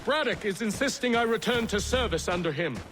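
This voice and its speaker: A dutiful male soldier with a notably high voice. He speaks politely and apologetically to his superior over clear background noise. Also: animated, brisk. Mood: apologetic, troubled. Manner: polite, deferential. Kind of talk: scripted video game acting.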